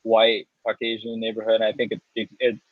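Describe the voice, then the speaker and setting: A man's voice: lowish voice, monotone, fairly quick, no echo, narrow, tinny audio. A man in his thirties, a guest in a casual interview, rambling through his story with restarts and small laughs. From United States. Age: 30s. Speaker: guest, coffee roaster and business owner.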